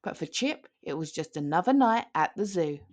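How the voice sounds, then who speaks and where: A woman's voice: fairly low pitch, lively intonation, moderate pace. A woman reading a children's picture book aloud in a theatrical storytelling manner, stretching key words for emphasis.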